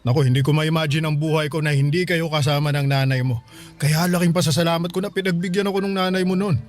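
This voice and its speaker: An actor playing a caring, bewildered middle-aged Filipino father in a radio drama, speaking gently and questioningly. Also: on the high side, fairly even intonation, fast talker, soft background music, close to an everyday voice. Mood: fond, reminiscing. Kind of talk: Tagalog radio drama acting.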